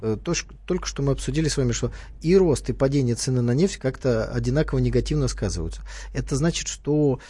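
A male radio commentator in a dry studio speaks quickly and with irony. His voice is dark and slightly husky. He pushes back on callers with formal politeness. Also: medium pitch, expressive, no room sound. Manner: ironic, argumentative, formal address. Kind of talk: studio talk radio discussion.

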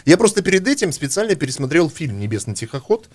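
A man's voice: fairly high pitch, very animated, fast talker. A man hosting a radio talk show, chatting casually with many hesitations and fillers. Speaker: radio host.

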